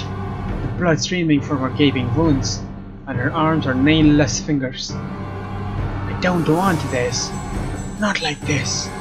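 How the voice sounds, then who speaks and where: A young man doing a let's play, reading story text dramatically and commenting casually. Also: on the high side. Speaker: let's player. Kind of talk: dramatic read-aloud with casual gameplay commentary. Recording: slightly dull mic over game music. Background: faint game music.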